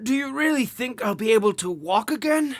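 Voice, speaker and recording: A woman voicing a gentle, sad old male turtle patient in an audio drama. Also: fairly high pitch, lively intonation, fairly quick, dry recording. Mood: anxious hope.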